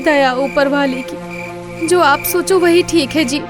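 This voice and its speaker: Lady doing a sweet devout young wife in a cartoon tale, really high, meek and pleading, music under it. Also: slow pace. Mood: meek, dutiful. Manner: pleading, dutiful. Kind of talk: animated Hindi moral story voice acting.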